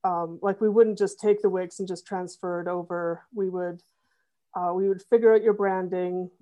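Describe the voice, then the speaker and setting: Middle-aged woman, web developer guest, mellow tone, thoughtful, many fillers. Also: lowish voice, steady tone, moderate pace. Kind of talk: conversational interview.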